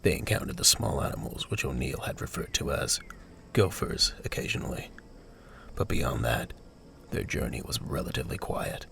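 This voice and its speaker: A man narrates a scripted audio drama in an extremely deep voice. It is thick with gravelly creak, and the pitch moves expressively through each sentence. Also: expressive, moderate pace, background noise.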